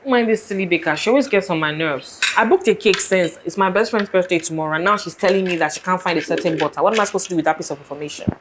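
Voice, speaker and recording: A woman playing a lively, bossy young best friend in a screen drama, speaking emphatically. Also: on the low side, very fast, hardly any pauses, background noise, natural voice. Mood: annoyed. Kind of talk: naturalistic screen drama acting.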